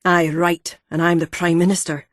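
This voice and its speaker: A woman voicing a gruff, wheezy older ex-miner man with a deep, gravelly voice, a Fife accent and a blunt, irritated manner. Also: flat delivery, normal speed, no room sound, clean studio sound, close to an everyday voice. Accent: Fife Scottish.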